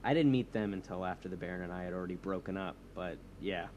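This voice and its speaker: A man's voice: deep voice, fast, dull audio, background noise, close to an everyday voice. A man playing an insecure young superhero leader, hesitant and earnest, in naturalistic audio drama dialogue. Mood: bitter. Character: insecure young male superhero leading a movement.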